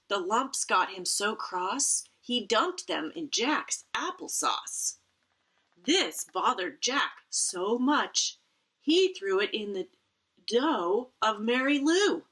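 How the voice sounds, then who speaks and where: A woman reading a picture book aloud to children in an expressive style, with dramatic emphasis and playful asides. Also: medium pitch, breathy edge.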